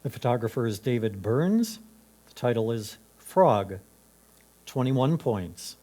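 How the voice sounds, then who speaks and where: A man's voice: medium pitch, very animated, slow pace, mellow. A man acting as a competition announcer, reading out names, titles and scores in a formal style.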